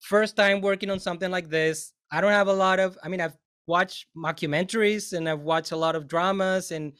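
Smooth-voiced man, rambling panel talk. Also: high voice, fairly even intonation, fairly quick, a bit bright. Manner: rambling, many fillers and restarts. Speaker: film composer on a panel.

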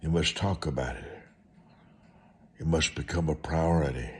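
An American man preaching a motivational sermon and telling stories in a deliberate, emphatic way, repeating short phrases. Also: extremely low pitch.

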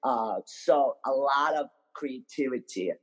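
Eastern European man telling his story haltingly. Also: mid-range voice. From Ukraine, born in Moldova. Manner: halting, with frequent hesitations and repeated words. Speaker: podcast guest. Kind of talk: casual personal storytelling in an interview.

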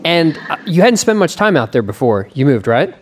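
A man's voice: on the high side, sing-song, normal speed. A young man hosting a podcast interview, chatting conversationally with plenty of fillers and restarts. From Pennsylvania. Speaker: podcast host. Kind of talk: casual interview.

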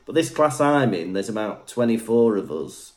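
A man's voice: on the low side, very animated, moderate pace. A young adult man from Wales co-hosting a podcast, chatting casually, telling stories and laughing often. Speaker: podcast co-host. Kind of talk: casual chat.

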